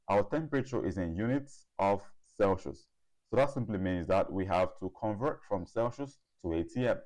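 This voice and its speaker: Low-voiced man tutoring step by step. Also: darkish tone, low-quality recording. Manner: deliberate, repeats figures as he works. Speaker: chemistry tutor. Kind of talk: step-by-step worked-problem lesson.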